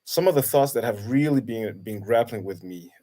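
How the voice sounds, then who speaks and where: A man's voice: on the low side, lively intonation, warm tone. A man in his thirties from Kenya, a guest in a casual conversation, talking thoughtfully with many halts and restarts. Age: 30s. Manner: halting, many restarts and fillers. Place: Denmark.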